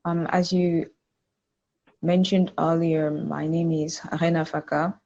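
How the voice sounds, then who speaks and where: A woman's voice: deep voice, little pitch movement, takes it slowly, mellow, lo-fi sound. A woman in her twenties with a Cameroonian accent, casually answering interview questions as a podcast guest. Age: 20s.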